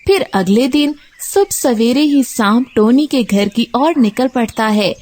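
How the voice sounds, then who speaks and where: A woman's voice: on the high side, lively intonation, measured pace, darkish tone. A woman narrating a scripted children's story.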